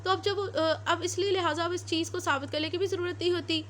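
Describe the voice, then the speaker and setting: A woman with a Pakistani accent and a very high voice gives an explanatory lecture. She talks informally, goes back over her points and mixes in English terms. There is faint noise behind her. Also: fairly even intonation, brisk, a bit bright. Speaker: law lecturer. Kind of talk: explanatory lecture with everyday examples.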